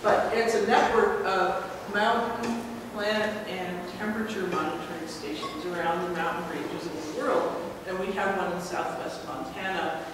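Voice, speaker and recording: A woman presenting her research at a conference, in a relaxed, chatty way with frequent pauses. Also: on the low side. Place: large lecture hall. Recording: echoey hall, mic far off, noisy.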